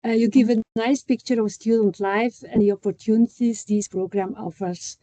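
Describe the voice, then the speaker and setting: Polite woman moderator, warm mellow voice. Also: a little high, steady tone, moderate pace. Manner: polite, introduces speakers. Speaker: session moderator. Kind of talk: moderating an info session.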